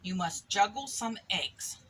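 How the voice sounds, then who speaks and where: Woman as a bright, mischievous farmyard rooster. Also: medium pitch, lively intonation, unhurried, a little hiss or hum. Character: cocky, mischievous farmyard rooster giving silly advice.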